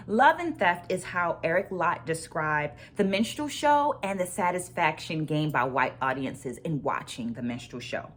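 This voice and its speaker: A woman with a slightly low voice speaks as the host of an online book club. She presents her notes and thoughts deliberately, leaning on key phrases and repeating them for emphasis. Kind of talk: book discussion vlog with takeaways and read quotes.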